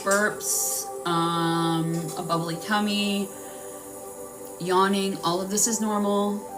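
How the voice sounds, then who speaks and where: A woman's voice: mid-range voice, little pitch movement, takes it slowly, far from the mic. A woman hosting a live-streamed healing session, talking in an earnest, rambling way and guiding listeners through their breathing. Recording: voice a bit off-mic with music playing.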